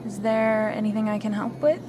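Woman playing a friendly flight attendant, reassuring, mid-pitched, audio roleplay, loud-ish plane ambience behind. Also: fairly quick, natural voice. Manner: polite, reassuring customer-service patter. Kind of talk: scripted audio drama. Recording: clear cabin noise behind the voice. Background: airplane cabin ambience.

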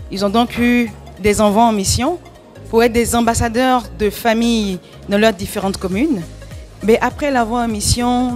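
A woman is interviewed in a conference room, holding a handheld mic. She explains her plans calmly, with a few pauses to think. Her pitch sits mid-range, and faint music plays in the background. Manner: composed, explanatory, some hesitations. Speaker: NGO president and event organizer. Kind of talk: interview answer.

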